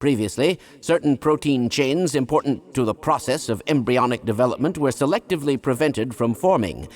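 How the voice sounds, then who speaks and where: A man's voice: mid-range voice, animated, normal speed, a little mellow, close to an everyday voice. An actor playing an eccentric, fussy older male scientist in a video game, absent-minded yet kindly, speaking in wordy, formal, pedantic phrases. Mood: earnest, lecturing. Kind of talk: scripted video game dialogue.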